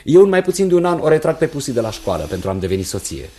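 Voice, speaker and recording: An actor playing an earnest, carefree young engineer engaged to be married, in a radio theatre style. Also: male voice, a little high, sing-song, mellow.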